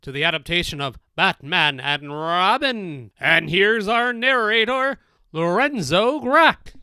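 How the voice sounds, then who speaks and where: A man acts as a theatrical audio drama announcer, formal and showy. The delivery is slow, very animated and bright. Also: sits high, clean tone, no echo, exaggerated voice. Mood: grand welcome.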